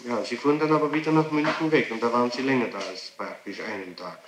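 A man's voice: mid-range voice, fast talker, roomy sound, background noise. A man formally questioning someone as a police interrogator, on an old 1960s tape.

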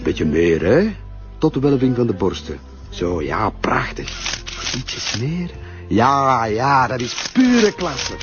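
An actor playing a sly, flattering Flemish photographer who coaxes in a TV crime drama. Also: male voice, medium pitch, takes it slowly. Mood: flattering, coaxing. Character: smooth-talking, flattering male photographer, sly and coaxing. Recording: dull TV soundtrack, music behind.

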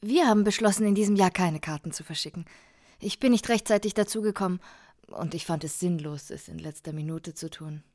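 A woman's voice: fairly low pitch, a little fast, warm tone, no echo, studio quality, natural voice. An actress playing an earnest, polite female guest in a radio drama. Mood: politely apologetic.

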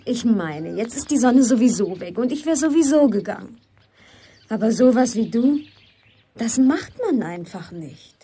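Casting: bossy young woman for radio drama, husky and higher-pitched, scolding and indignant. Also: animated, normal speed, warm tone. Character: brusque, indignant, sharp-tongued young woman. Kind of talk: German radio play acting.

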